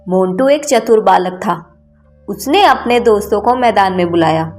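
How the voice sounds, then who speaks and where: A woman's voice: medium pitch, lively intonation, unhurried, faint music behind. A woman reading scripted narration for a children's story as the storyteller.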